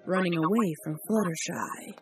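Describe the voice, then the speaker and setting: A woman narrating a fan story in an even, measured reading, with music behind. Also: lowish voice. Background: background music.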